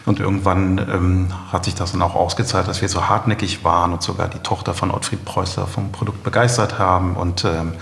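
A middle-aged man explaining things conversationally as an interview guest, halting often with ums. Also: deep voice, little pitch movement, vocal fry, fairly bright tone. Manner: hesitant, halting delivery with frequent ums. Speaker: company founder, interview guest. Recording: echoey room, long reverb.

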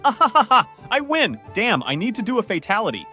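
A performer voicing a brash, excitable young male cartoon chicken in a comic dub, cocky and exclamatory, with music behind. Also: medium pitch, brisk. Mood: gleeful. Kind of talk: comic dub character acting.

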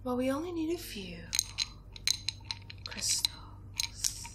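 A young woman playing a sly, vengeful witch in an ASMR roleplay, often whispering, breathy, deliberate, soothing yet menacing. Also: sits high, sing-song, heavy background noise, heavily acted. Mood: scheming. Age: young adult. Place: small bedroom, indoors.

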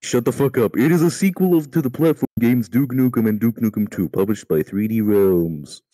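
A man's voice: medium pitch, moderate pace, noisy background. A man reading an encyclopedia article aloud, stumbling over words and swearing casually at his slips. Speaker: amateur reader in a group voice call.

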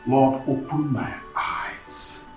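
An actor playing a prophetic pastor who speaks slowly in hushed tones. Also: male voice, mid-range voice, steady tone. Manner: slow, hushed prophetic delivery with whispers. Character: solemn prophetic male pastor. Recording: roomy echo, music behind.

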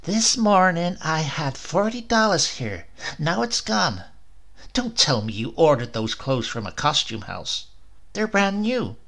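A man voicing a scolding, exasperated storybook wife with a sharp, snapping, nagging manner. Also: a little high, very animated, unhurried, vocal fry, pitched above the performer's own voice, full character voice. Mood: accusing.

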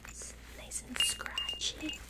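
A young woman in an ASMR roleplay as a childcare worker, talking gently and simply to a small child with lots of questions. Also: takes it slowly, heavy background noise. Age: young adult. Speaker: ASMR creator playing a preschool childcare worker.

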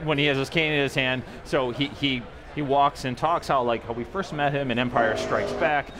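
A man's voice: medium pitch, normal speed. A man in his thirties demoing a toy as a company guest at a convention booth, eager, often repeating words as he goes, on a lapel mic. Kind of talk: product demo interview. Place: booth at a busy convention hall.

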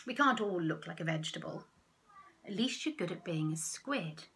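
Woman as an excitable female sea cucumber. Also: fairly low pitch, moderate pace. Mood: consoling. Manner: urgent, exclamatory.

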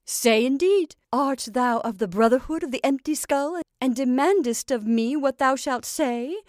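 A woman's voice: sits high, expressive, a little slow, dry recording, clean studio sound, pitched above the performer's own voice. A woman voicing a domineering old witch in a storybook, scornful and coaxing, with emphatic, declamatory archaic speech.

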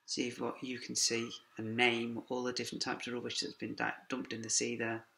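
Bright-voiced man reading a picture book. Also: mid-range voice, brisk, creaky. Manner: lively sing-song reading, quiet asides to children. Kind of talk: expressive read-aloud for young children. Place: classroom. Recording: echoey classroom.

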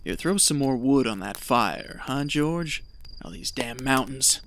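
An actor playing a greedy, callous treasure hunter in an audio drama, talking in a scheming, folksy, persuasive way. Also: male voice, medium pitch, lively intonation, measured pace, gravelly, fairly bright tone, slight background noise. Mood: brisk, bossy.

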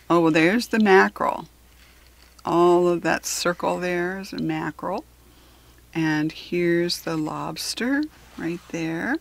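An American woman reading a children's book aloud in a deliberate, instructive way, adding chatty asides for young listeners. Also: on the low side, gravelly, a bit bright. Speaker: children's book reader and host.

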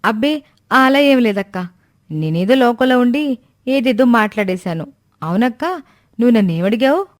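A woman's voice: a little high, animated, normal speed, pauses often, natural voice. Woman doing a nosy suspicious daughter-in-law in a cartoon story, always questioning and hinting. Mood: flustered denial. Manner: questioning, insinuating. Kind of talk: animated moral-story voice acting.

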